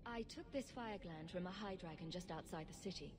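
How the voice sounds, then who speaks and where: A woman's voice: on the low side, normal speed, close to an everyday voice. An actress playing a serious, frank woman adventurer in a fantasy video game. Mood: matter-of-fact.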